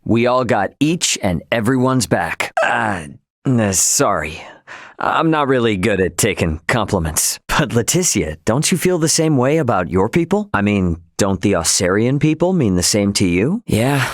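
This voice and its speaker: A man playing a brash, easygoing young spaceship crewman in a video game, with casual, slangy, expressive speech. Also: lowish voice, unhurried, no room sound, studio quality. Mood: earnest, friendly. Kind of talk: video game character voice acting.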